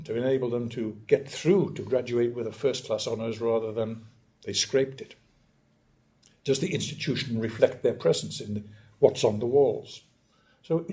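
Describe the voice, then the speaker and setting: An older man from northeast England, giving a measured, emphatic academic lecture and often clearing his throat. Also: mid-range voice, darkish tone. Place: lecture hall.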